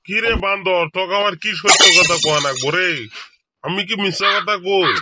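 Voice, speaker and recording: Man voicing a high-pitched cranky cartoon grandpa. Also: a little fast, pushed and bright, a little hiss or hum. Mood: irritated, scolding. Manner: scolding, blustering, repetitive. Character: cranky, stingy old grandfather, scolding and threatening. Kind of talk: amateur comic cartoon dubbing. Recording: music behind the voice.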